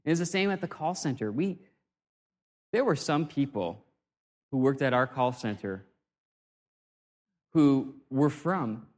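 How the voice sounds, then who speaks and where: A man's voice: medium pitch, darkish tone. Chatty man explaining with many restarts. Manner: conversational, self-deprecating, frequent restarts. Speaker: speaker on communication. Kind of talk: informal talk or sermon-like lecture.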